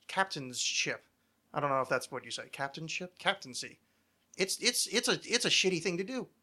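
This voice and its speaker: A man with a fairly high, very bright and forceful voice hosts a casual podcast. He talks like an opinionated nerd on a rant, swearing freely as he argues his points. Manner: ranting, profane, nerdy. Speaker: podcast host.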